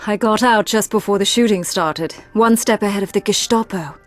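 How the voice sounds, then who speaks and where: A woman's voice: mid-range voice, moderate pace, faint music behind, natural voice. Poised, sly Englishwoman spy acted by a woman. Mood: sympathetic. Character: cool, sly, elegant British woman spy. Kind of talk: dramatic video game acting.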